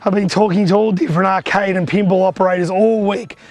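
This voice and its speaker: A hoarse man who has lost his voice, giving a chatty, upbeat vlog tour of his arcade collection. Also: sits high, fairly even intonation, fast, a little mellow, dry recording. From Australia. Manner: chatty, upbeat, pointing things out.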